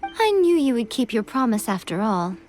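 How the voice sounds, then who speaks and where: A woman voicing a poised, knowing, gently teasing shrine priestess in a video game cutscene. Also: fairly high pitch, sing-song, moderate pace, faint music behind.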